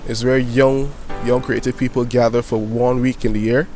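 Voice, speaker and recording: A man's voice: mid-range voice, level tone, moderate pace. A nineteen-year-old man, a youth media founder and poet, answering interview questions eagerly with many fillers. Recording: clear music playing behind.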